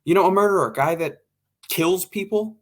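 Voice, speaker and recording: A man's voice: high-pitched, brisk, close to an everyday voice. A man acting in an old-time radio drama as an impatient, sarcastic, slangy radio director.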